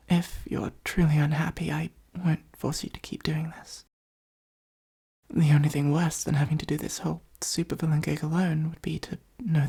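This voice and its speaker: A woman playing a possessive supervillain who is secretly fond of a hero, in an intimate ASMR roleplay, speaking softly and breathily with an earnest, gently wry delivery. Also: deep voice, heavily acted. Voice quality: soft, husky, breathy, often near whisper.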